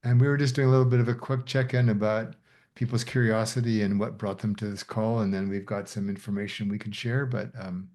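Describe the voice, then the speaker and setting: A man's voice: lowish voice, level tone, fairly quick, dark tone. A man hosting a video call Q&A, welcoming participants with short friendly affirmations. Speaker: host of the Q&A call.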